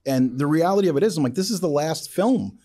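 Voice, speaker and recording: Mellow man with lively swooping pitch. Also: quick pace. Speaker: interview host. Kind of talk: casual interview chat.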